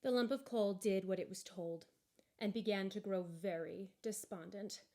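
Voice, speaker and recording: A woman narrating a children's story expressively, with measured, deliberate emphasis. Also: mid-range voice, warm tone. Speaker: story reader.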